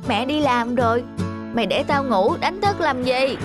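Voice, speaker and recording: A woman voicing a childlike, expressive young rabbit, sleepy, lazy and earnest, for a children's cartoon. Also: sits high, normal speed. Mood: grumpy. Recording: background music under the voice.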